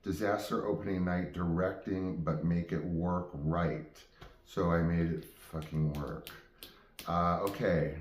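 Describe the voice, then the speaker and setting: A man's voice: low voice, steady tone, a little slow, reverberant, slight background noise. A man hosting his own show, telling stories off the cuff in a casual, rambling way that often breaks off and restarts. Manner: rambling, self-interrupting. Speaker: host of own game show. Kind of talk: casual improvised storytelling to viewers.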